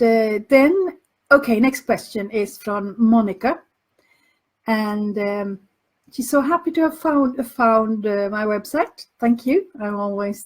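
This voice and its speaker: A Finland Swedish woman hosting a parenting podcast, answering listener questions slowly with many fillers and restarts. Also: mid-range voice, a little mellow, low-quality recording. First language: Finland Swedish dialect. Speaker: podcast host and language coach.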